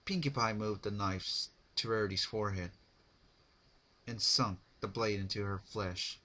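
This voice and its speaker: A man narrating horror fan fiction as a dramatic reading, halting and stumbling over words now and then. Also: fairly low pitch, bright, forceful tone.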